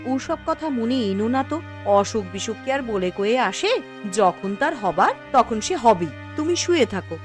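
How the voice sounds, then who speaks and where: Woman playing caring young wife in a cartoon, soothing and gentle, bit of music behind. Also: fairly high pitch, lively intonation, normal speed, lightly acted. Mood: tender. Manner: soothing, coaxing, tender. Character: gentle, devoted young village wife nursing sick husband.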